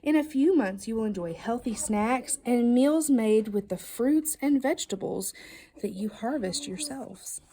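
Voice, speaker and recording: Lady doing a kids storybook read-aloud, highish voice, really animated and expressive. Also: measured pace, low-quality recording. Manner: expressive, emphatic storytelling delivery with playful questions. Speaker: storybook reader. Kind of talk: children's read-aloud of a picture book.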